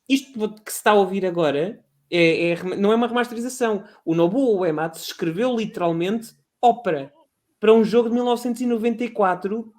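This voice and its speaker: A man with a European Portuguese accent talking casually about video games, animated and rambling, full of restarts and fillers. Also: high-pitched. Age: adult. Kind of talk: casual gaming chat.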